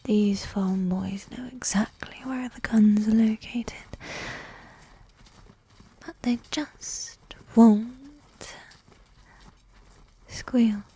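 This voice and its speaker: A woman playing a regal, seductive, coolly manipulative evil queen in a medieval ASMR roleplay, speaking in a soft, breathy whisper with formal, measured phrasing. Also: on the high side, steady tone, exaggerated voice. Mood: coolly coaxing. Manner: slow, measured, formal, with long pauses.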